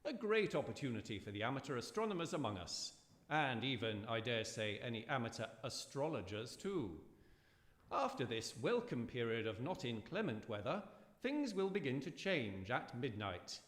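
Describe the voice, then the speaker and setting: A man's voice: mid-range voice, sing-song, normal speed, a bit bright. A man playing an eager, polite old-time radio weatherman, giving a forecast in a florid, formal and dramatic manner. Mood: portentous, polite. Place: live performance in a university hall. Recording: echoey hall with long reverb.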